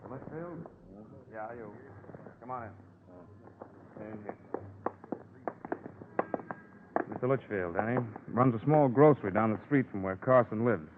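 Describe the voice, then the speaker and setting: A man's voice: fairly low pitch, expressive, moderate pace, pauses often, lightly acted. An actor playing a streetwise police detective in a 1950s radio crime drama, wordy and musing as he reports. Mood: brisk. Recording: muffled, noisy old radio recording.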